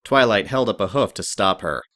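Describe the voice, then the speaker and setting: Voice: sits low, expressive, moderate pace, a bit bright, dry recording, studio quality. A narrator reading scripted story prose in an audio drama.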